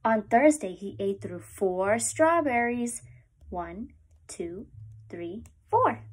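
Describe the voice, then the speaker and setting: Gentle young woman reading to preschoolers. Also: on the high side, slow pace, husky. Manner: gentle, animated storytime voice, lifts up on repeated lines. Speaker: preschool teacher. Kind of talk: reading a picture book to young children. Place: small room at home, indoors.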